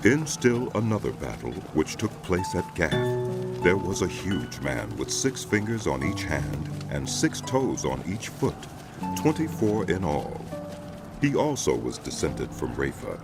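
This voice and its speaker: Male Bible narrator: extremely deep, expressive intonation, solemn storyteller. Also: measured pace. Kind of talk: dramatized scripture narration. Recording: music behind the voice. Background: background music.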